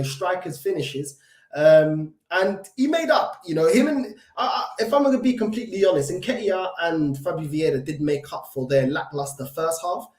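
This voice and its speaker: A man's voice: sits high, darkish tone. Frustrated London man in an echoey room. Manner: frustrated, emphatic, repeats himself with many fillers and restarts. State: recovering from illness, voice not at full strength. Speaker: football fan channel host. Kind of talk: solo fan reaction commentary.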